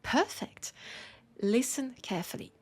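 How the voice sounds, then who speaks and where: Slow, whispery Frenchwoman acted as tender bookseller. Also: fairly high pitch, animated, breathy edge. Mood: warm, playful. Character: romantic, teasing female bookseller fond of a vampire customer. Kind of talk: soft intimate roleplay audio with poetic reading. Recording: music behind the voice. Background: music.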